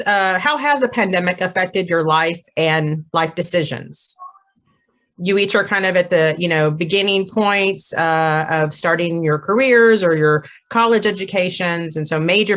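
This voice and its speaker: A woman with a lowish voice hosts a relaxed group chat over a video call. She is friendly and unhurried, and her speech is full of small fillers and restarts. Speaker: host and moderator. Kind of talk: casual group conversation.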